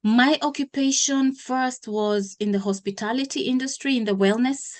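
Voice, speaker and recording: A woman from Kenya in her thirties, a guest in an interview, who explains things at length with pauses and self-corrections. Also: sits high. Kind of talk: interview conversation.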